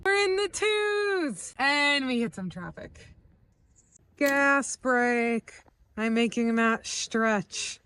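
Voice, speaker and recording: A woman's voice: sits high, animated, extremely slow, sharp tone, clean tone. A young woman from the US East Coast, narrating a casual travel vlog in a chatty, upbeat way with lots of "like". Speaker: vlogger. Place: inside a car on a road trip.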